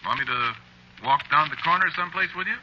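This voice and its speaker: A man's voice: fairly high pitch, fast, close to an everyday voice. A man playing a meek, worried working husband, narrating and acting in a 1940s radio drama in a hesitant, mild, conversational way. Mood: wary, concerned. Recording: very noisy, muffled 1940s radio recording.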